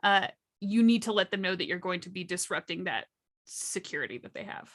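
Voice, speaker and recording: A woman's voice: lowish voice, animated, fairly quick, a bit bright. A woman speaking as a panelist in a casual discussion, with playful, dramatic emphasis. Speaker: workshop panelist.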